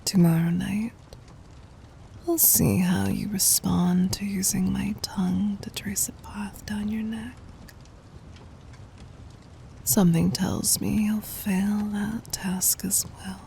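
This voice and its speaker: A woman playing a gentle, dominant demon queen in an ASMR roleplay, teasing and intimate, in a breathy whisper. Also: mid-range voice, steady tone, a little hiss or hum. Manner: slow, intimate, commanding.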